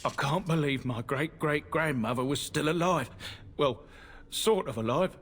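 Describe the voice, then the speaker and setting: An actor playing a weary, wry heir of an old family in a fantasy video game, speaking in a dry, rueful way. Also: male voice, fairly high pitch, a little slow, a bit bright, breathy edge, exaggerated voice. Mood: wry, shaken. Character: weary, wry male descendant of a warden commander.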